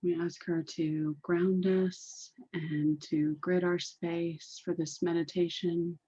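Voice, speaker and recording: A low-pitched woman guides a meditation with a very unhurried, soothing delivery. Her voice is dark and mellow, with soft, husky edges. She repeats phrases deliberately, and the recording is slightly dull. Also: level tone.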